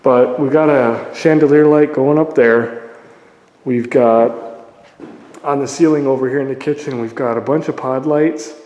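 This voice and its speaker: A man's voice: mid-range voice, fairly even intonation, a little slow, a little mellow, muffled recording. A young man chatting casually as he walks through his unfinished house, talking into his phone camera, rambling with unsure asides and a sore throat from paint fumes. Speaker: vlogger touring his house under construction. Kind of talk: casual walkthrough vlog. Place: empty house interior with bare walls. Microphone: phone camera held by the speaker. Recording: echoey empty rooms.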